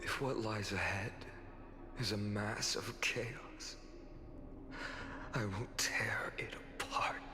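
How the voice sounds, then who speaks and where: A man playing a weary but determined young heroic lord in a fantasy game, speaking in an earnest, dramatic, measured way. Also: lowish voice, steady tone, whispered. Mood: grim resolve. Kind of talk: scripted fantasy game voice acting. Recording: long hall reverb over music.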